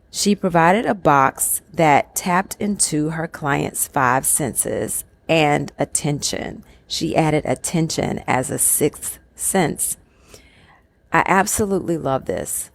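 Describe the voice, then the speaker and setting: A woman hosting a solo podcast, talking in an upbeat, conversational way with a slightly raspy morning voice, recorded in a room at a resort. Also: sits low. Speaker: podcast host.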